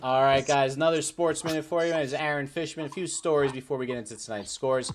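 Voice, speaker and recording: A man's voice: mid-range voice, fast talker, background noise. A man on a sports talk show delivering commentary and updates as a panelist.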